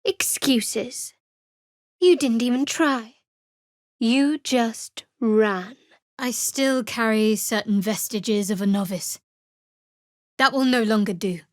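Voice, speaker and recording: A guarded, sardonic young cleric, higher-pitched. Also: female voice, no echo. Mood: wry, dry. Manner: measured, dry, wry delivery. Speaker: video game voice actor. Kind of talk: scripted fantasy game dialogue.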